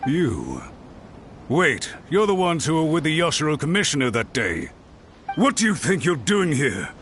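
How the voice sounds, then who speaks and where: An actor playing an anxious, overbearing clan retainer in an anime fantasy game, speaking in an agitated, insistent, suspicious way. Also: male voice, fairly high pitch, wildly swooping pitch, normal speed, fairly bright tone, slight background noise.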